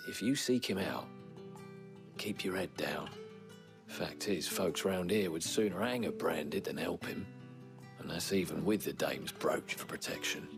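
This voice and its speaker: An actor playing a gruff, wry stable hand in a video game, plain-spoken and folksy. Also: male voice, low-pitched, unhurried, music in the background. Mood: wry, cautioning. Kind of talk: video game cutscene acting.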